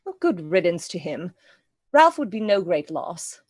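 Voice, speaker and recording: A woman playing a sharp-tongued, feisty young lady's companion in a radio play, blunt and tart. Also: on the low side, very animated, unhurried, no room sound, clean studio sound. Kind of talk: theatrical radio play acting.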